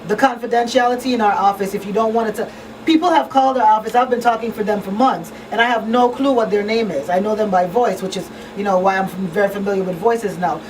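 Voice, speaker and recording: A woman's voice: on the high side, recorded from a distance, a little hiss or hum, poor audio. A woman hosting a conversational community radio talk show, speaking in an earnest, emphatic way as an advocate. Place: small radio studio. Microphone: studio microphone on boom arm.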